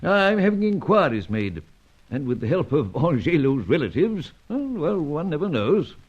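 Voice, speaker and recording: Stuffy suspicious British intelligence chief, rough husky voice, lively pitch swings, formal manner, radio drama, lo-fi. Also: male voice. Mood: resigned, wry. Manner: pompous, deliberate, formal. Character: stuffy, suspicious older British spy chief, a knighted gentleman. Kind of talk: old-time radio drama acting. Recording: dull lo-fi old radio recording.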